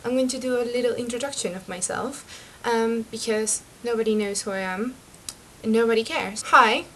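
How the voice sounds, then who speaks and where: A young woman from Venezuela, chatty and excitable, talking to her webcam about books in a small bedroom. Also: a little high, moderate pace, slight background noise, poor audio. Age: young adult. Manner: chatty and excitable, laughs at her own slips. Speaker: book vlogger. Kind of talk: casual chat to camera. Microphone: webcam mic.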